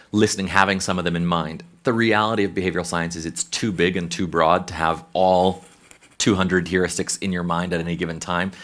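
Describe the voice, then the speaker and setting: A man's voice: sits low, fairly bright tone. An American man, a consultant, answering questions on a conference panel in a thoughtful, conversational way with frequent restarts. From United States. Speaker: behavioral science consultant.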